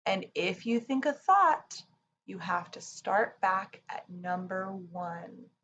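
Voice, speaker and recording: Woman doing calm kids relaxation reading, slow measured pace, soft gentle manner, slightly muffled recording. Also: mid-range voice. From Canada. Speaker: children's yoga teacher reading and guiding relaxation. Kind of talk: slow guided relaxation and read-aloud for children. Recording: slightly dull sound, faint noise.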